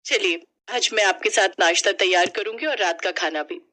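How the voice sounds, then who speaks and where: A woman's voice: medium pitch, moderate pace, sharp tone, lightly acted. A woman voicing a young, emotional newlywed daughter-in-law in a family story. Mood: eager, polite. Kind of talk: animated storybook acting.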